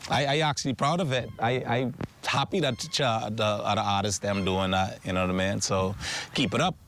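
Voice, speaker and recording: A man's voice: fairly low pitch, fairly even intonation, a little fast, fairly bright tone, a little hiss or hum. A man from the Bahamas with a Bahamian accent, chatting casually in an interview in dialect, often saying "you know what I mean". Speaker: interviewed rapper.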